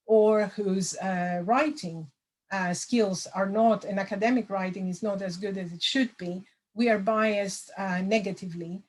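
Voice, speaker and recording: A middle-aged woman, a lecturer answering audience questions over a video call, thoughtful with many pauses and fillers. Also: medium pitch, darkish tone, slight background noise, rough recording. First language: Greek. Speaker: language assessment lecturer.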